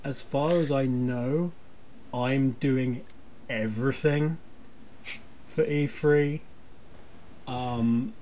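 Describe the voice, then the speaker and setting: A man's voice: medium pitch, steady tone, very slow, pauses often, warm tone, slight background noise, poor audio. A man hosting a podcast in casual chat, with a wry, self-deprecating, grumbling manner. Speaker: podcast host.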